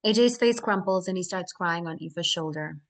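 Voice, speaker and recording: Lower-voiced woman reading screenplay directions. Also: normal speed. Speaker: stage directions reader at a screenplay table read. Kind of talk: scripted narration of screenplay action.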